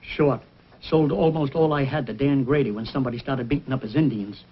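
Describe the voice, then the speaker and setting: Husky, practical frontier trader. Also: male voice, mid-range voice, fairly quick, muffled recording. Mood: concerned. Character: gruff, practical frontier trading-post man. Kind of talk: old-time radio adventure acting. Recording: old band-limited radio recording, music.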